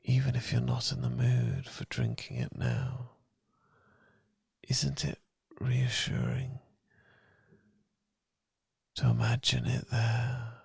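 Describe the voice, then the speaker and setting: Breathy, gravelly British man murmuring slow ASMR. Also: very deep voice, huge pitch swings, no echo. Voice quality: soft, breathy, husky, often whispered. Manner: gentle, tender, unhurried, soothing. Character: loving, soothing boyfriend comforting his partner. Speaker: ASMR audio performer. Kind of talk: ASMR, slow romantic guided relaxation. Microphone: close microphone, dry.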